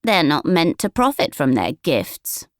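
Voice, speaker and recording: A woman's voice: low voice, very animated, unhurried, no room sound, studio quality. A woman voicing a skinny, prejudiced village woman in a storybook, whining and sanctimonious. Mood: pious whining.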